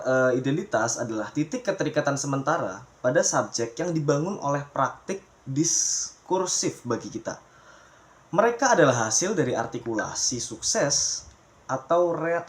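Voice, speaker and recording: Young male student, mid-pitched voice; relaxed, chatty class presenter who throws in English jargon. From Pemalang, Central Java, Indonesia. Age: young adult. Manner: chatty, calls the viewers guys, mixes in English terms.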